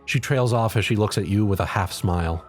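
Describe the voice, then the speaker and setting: A woman's voice: very deep voice, brisk, a little mellow, soft background music. A woman reading scripted second-person fan fiction as the narrator.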